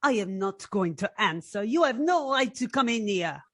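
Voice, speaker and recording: A woman acts out a defiant young femme fatale. She is haughty and emphatic, her pitch swinging widely, in a dry recording of an old radio detective play. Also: lively intonation, normal speed, a bit bright, no room sound. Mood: indignant. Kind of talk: old-time radio detective drama acting.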